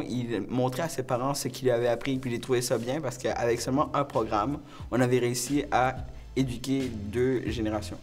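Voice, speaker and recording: A young man, a student, giving casual answers and recollections in an interview. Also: medium pitch. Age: young adult. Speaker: student panelist.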